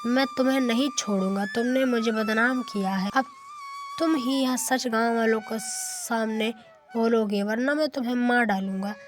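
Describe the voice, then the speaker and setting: A woman's voice: on the high side, moderate pace, soft background music, natural voice. A woman voicing a wronged, bitter young village man seeking justice in a cartoon tale. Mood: threatening.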